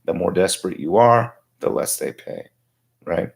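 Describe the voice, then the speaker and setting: A man in his forties with a tiring voice, talking through political commentary in a halting, reflective way as a livestream host. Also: deep voice, lively intonation, creaky. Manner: halting, reflective, thinking aloud. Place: small home workshop, indoors.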